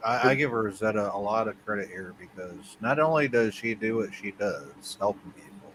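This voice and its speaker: A man's voice: on the low side, moderate pace, noisy background. A man in casual livestream chat, agreeing emphatically with the others.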